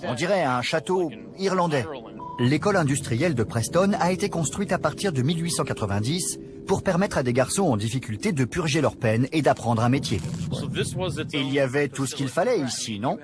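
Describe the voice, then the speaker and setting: A man's voice: mid-range voice, animated, fairly quick, crowd noise, natural voice. A man voicing an intense paranormal documentary host, narrating and questioning in a dramatic, inquisitive way. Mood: curious, impressed. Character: intense male ghost hunter hosting a documentary. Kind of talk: dubbed documentary narration and interviewing.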